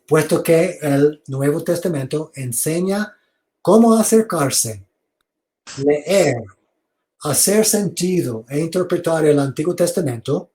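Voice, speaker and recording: A man giving an online theology lecture over a video call, speaking slowly, repeating words and inviting questions. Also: a little high, expressive, mellow. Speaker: seminary lecturer.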